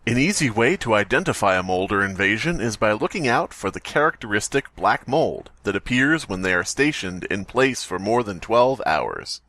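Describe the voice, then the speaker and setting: A man's voice: on the low side, expressive, moderate pace, hardly any pauses, sharp tone. A man co-hosting a podcast, chatting casually, reading stories aloud, and laughing often. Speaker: podcast co-host.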